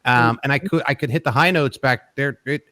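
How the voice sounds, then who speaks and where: A man's voice: mid-range voice, brisk, sharp tone. A man hosting a podcast in casual chat, jokey and stammering with repeated words, over a video call mic. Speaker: podcast host.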